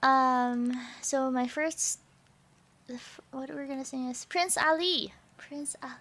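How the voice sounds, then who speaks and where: A woman streamer with a very high voice, chatting casually with her viewers in a bubbly, talkative way and laughing often. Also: measured pace, a bit bright. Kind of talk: casual livestream chat.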